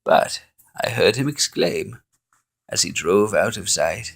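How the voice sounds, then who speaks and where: Gravelly, slow, playful male storyteller. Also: on the low side. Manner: expressive, playful reading with chuckles. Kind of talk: reading a holiday poem aloud.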